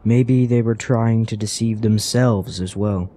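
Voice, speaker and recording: An actor playing a cautious, earnest traveler in a fantasy radio drama, speaking formally and gravely. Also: male voice, on the low side, fairly even intonation, dark tone. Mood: grave, reflective. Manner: formal, grave, measured phrasing. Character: cautious, earnest male traveler wary of dark woods.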